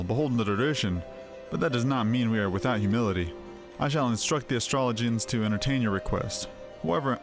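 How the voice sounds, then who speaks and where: A man voicing a gruff, haughty fortress commander in a fantasy game, formal and disdainful. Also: fairly low pitch, natural voice. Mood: formal, conceding. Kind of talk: acted fantasy game dialogue. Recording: music behind the voice.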